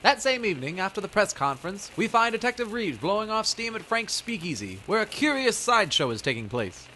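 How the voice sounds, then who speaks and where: An actor playing a grandiose, dramatic narrator in the style of a 1940s radio announcer. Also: male voice, high-pitched, sing-song, brisk, sharp tone, a little hiss or hum, heavily acted, poor audio. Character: dramatic male old-time radio announcer.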